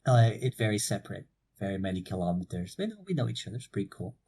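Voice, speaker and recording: A young man from Portugal co-hosts a loose podcast chat, relaxed and casually profane. His voice is husky and mellow, heard through a cheap microphone. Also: fairly low pitch, fast. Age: young adult. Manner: relaxed, swears casually, laughs often. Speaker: podcast co-host. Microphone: cheap low-quality mic.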